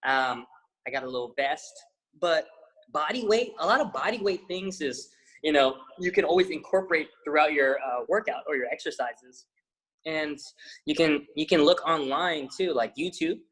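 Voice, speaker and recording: A man's voice: a little high, normal speed, breathy edge, low-quality recording. A young man, a fitness trainer, chatting casually as an interview guest, rambling with lots of fillers and restarts. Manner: says he has a loud voice.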